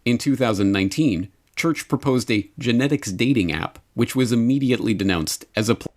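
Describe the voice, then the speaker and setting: A man reading scripted documentary narration in a measured, formal manner. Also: mid-range voice.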